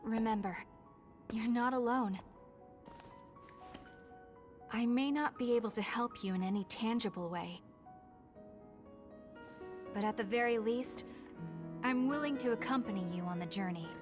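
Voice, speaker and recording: An actress playing a gentle, loyal young woman in a video game, speaking earnestly and reassuringly. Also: fairly high pitch, a little slow, pauses often, over music, close to an everyday voice. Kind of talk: dramatic video game voice acting.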